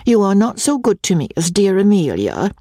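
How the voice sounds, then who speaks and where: A woman's voice: mid-range voice, very animated, moderate pace, dark tone, dry recording, studio quality, pitched above the performer's own voice. A woman voicing a sweet, coy young woman who plays innocent in an audiobook, meek and coquettish. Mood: coy reproach.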